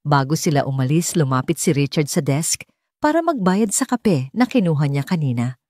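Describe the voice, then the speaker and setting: A woman's voice: low voice, lively intonation, normal speed, darkish tone, no echo, clean studio sound. A woman reading a scripted romance story as an audiobook narrator.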